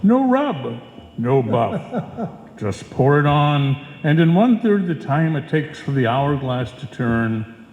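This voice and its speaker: A man's voice: fairly high pitch, very animated, takes it slowly. A man playing a pompous, hammy salesman who declaims like a Shakespearean actor in an old-time radio comedy. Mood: grandly declaiming. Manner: theatrical, pompous, grandly declaiming. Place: live performance in a reverberant hall. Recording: echoey hall, dull live stage sound.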